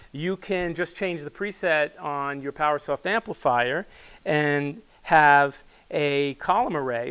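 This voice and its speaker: A man in his sixties giving an unscripted product presentation in a measured, halting way, pausing mid-phrase. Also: on the high side, fairly bright tone, clear, resonant tone. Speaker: loudspeaker company presenter and product developer. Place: small wood-panelled studio, indoors. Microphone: lapel mic.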